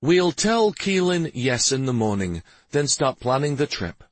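A man performs a young warrior of rank in a fantasy audiobook. He is dutiful but weary, earnest with a sour streak. The voice is a little husky and expressive, recorded close and dry. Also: mid-range voice, unhurried, no echo, voice pushed up. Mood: decisive. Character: brooding young male war master, weary and dutiful.

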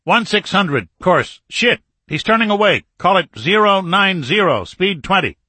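Man acting a tense, forceful submarine captain. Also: mid-range voice, lively intonation, normal speed, breathy edge, no echo. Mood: urgent, commanding. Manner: urgent, clipped military commands. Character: tense commanding submarine captain, male, barking clipped orders. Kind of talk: audiobook character acting.

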